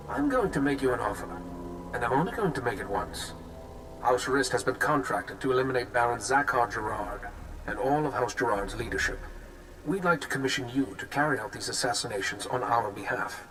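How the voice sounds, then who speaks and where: A man's voice: mid-range voice, fairly bright tone, natural voice, rough recording. Man acting a pompous, menacing noble assassin. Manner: pompous, measured, coolly menacing. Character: suave, haughty male noble of an assassin house. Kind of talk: scripted video game acting.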